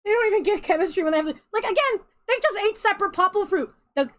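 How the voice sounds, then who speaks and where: A woman streamer with a light twang, ranting in exasperated gameplay commentary with loud outbursts and laughter. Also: very high-pitched, fast.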